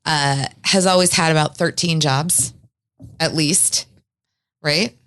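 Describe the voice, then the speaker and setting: A woman's voice: low voice, fairly even intonation, slow, a bit bright, no echo. A woman from Eastern Washington in her forties, hosting a podcast with an earnest, heartfelt manner. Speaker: podcast host. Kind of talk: podcast intro and casual interview.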